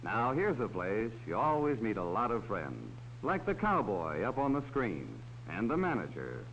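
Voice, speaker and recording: A man's voice: fairly low pitch, big pitch swings, normal speed, a bit bright. A man narrating a 1940s educational film, talking kindly to children as a policeman would. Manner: talks down to children. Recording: dull old film soundtrack with faint hiss.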